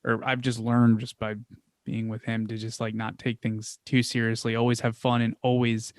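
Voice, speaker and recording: A young man with a Philadelphia accent, answering interview questions casually, trailing off quietly at times, with many fillers and restarts. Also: mid-range voice, completely flat delivery, moderate pace, no echo. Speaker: podcast guest, founder.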